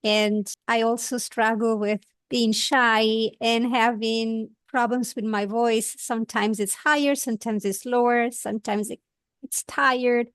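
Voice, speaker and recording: Italian-accented woman hosting a podcast, earnest and gentle, higher voice, dry booth sound. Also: steady tone, unhurried, smooth, dry recording. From Italy. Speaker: podcast host. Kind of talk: podcast interview.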